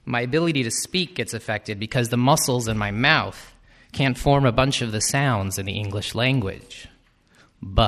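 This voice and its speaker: A man narrates a personal essay at an unhurried, even pace. His delivery is thoughtful and reflective, with a dry, slightly wry touch. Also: mid-range voice. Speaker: teacher.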